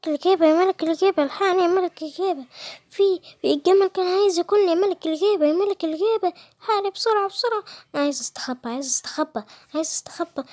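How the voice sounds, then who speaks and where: Cartoon hen, very high, shrieking, panicked, Egyptian accent, dry sound. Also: female voice, rapid-fire, a little mellow, no room sound. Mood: frantic. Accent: Egyptian Arabic. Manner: shrieking, pleading. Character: panicked small female chicken.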